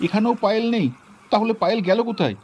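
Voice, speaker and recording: A man doing a devoted young husband who calls out urgently in a high, quick voice while he searches for his wife. A faint outdoor hum runs behind him. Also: expressive. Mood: anxious. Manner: calling out loudly, anxious and urgent. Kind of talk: amateur home-video drama.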